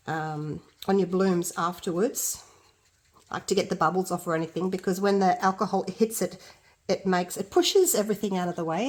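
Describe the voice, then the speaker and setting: A middle-aged woman with an Australian accent and a congested, blocked-up nose, chatting casually through a resin art tutorial and thinking aloud as she works. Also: fairly low pitch. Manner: chatty, self-deprecating, thinking aloud while working.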